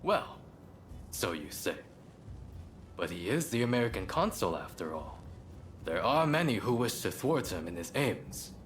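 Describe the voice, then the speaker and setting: An actor playing an opinionated, cautious samurai scholar in a video game cutscene, chatty and slightly formal. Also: male voice, on the low side, big pitch swings, measured pace, long pauses, faint music behind. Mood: wary, indignant.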